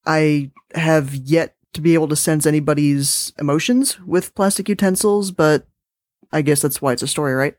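A woman's voice: deep voice, moderate pace, darkish tone, no room sound. A woman hosting a podcast, chatting casually and informally between pieces. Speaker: podcast host. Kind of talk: casual chat between stories.

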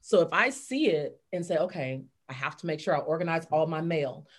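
A middle-aged woman with a low, deep voice, a guest on a chat podcast who walks through steps in an upbeat, instructive way. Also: animated, fairly quick. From Fresno, California. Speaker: podcast guest, business author. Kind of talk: casual interview chat.

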